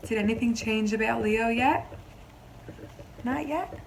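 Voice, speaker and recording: A woman teacher reading a picture book aloud to young children, expressively, pausing to explain simply and ask questions. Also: fairly high pitch, gravelly, slight background noise, poor audio. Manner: expressive storybook delivery, explains simply, pauses to question listeners.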